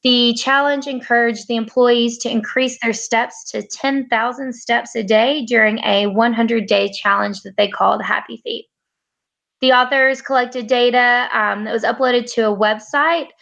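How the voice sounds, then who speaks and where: A young woman presents a research study for a class, her voice a little high with a gravelly edge. She reads from notes, stopping often for fillers and short pauses. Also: steady tone, a bit bright. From United States. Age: 20s. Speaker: graduate student presenter.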